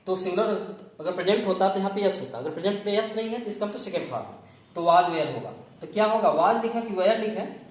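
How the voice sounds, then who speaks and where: A man with a North Indian accent teaching grammar in a didactic classroom style, often asking questions and answering them himself. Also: high voice. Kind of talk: classroom-style lesson in Hindi with English terms. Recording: very echoey room, distant mic, faint noise.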